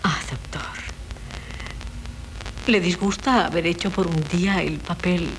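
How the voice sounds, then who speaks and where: Actress playing a coy, theatrical young wife, noisy recording. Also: female voice, lowish voice, steady tone, measured pace, lightly acted. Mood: coy, confiding. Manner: theatrical, hesitant, plaintive delivery. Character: young wife feigning madness, coy and dramatic. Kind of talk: 1970s Spanish radio theatre acting. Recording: noisy 1970s radio recording.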